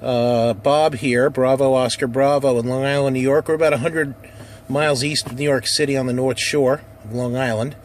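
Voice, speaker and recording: A man talks casually through a demonstration in the manner of a ham radio operator. His pitch is average. He is recorded inside a car, with faint vehicle noise behind him. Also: fairly even intonation, moderate pace. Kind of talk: casual demo narration and radio call-outs. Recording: noisy car interior, handheld camera.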